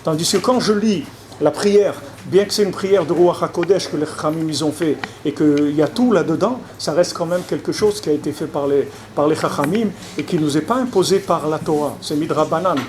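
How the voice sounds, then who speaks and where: A man's voice: fairly high pitch, fairly quick, a little mellow. A man, a rabbi, giving a conversational public lecture, often repeating and restarting his words and checking that listeners follow. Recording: noisy lecture recording.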